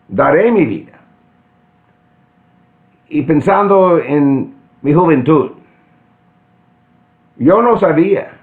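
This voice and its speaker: An older man from central Kansas with an American accent, preaching an earnest sermon and pausing to restart phrases. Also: fairly high pitch. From central Kansas, United States. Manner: deliberate, earnest, with frequent restarts. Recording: tinny phone-like sound.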